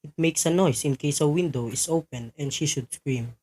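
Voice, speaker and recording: A man's voice: on the high side, moderate pace, a little mellow, close to an everyday voice. A man playing a methodical criminal go-between in a radio play, giving instructions in a businesslike way. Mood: businesslike, secretive.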